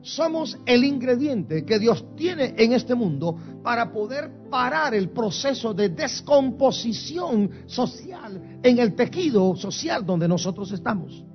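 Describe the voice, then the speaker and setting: A man's voice: very high-pitched, very animated, takes it slowly, few pauses, muffled recording. A man from Guatemala preaching a sermon as pastor, with emphatic, rising and falling intensity. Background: soft music.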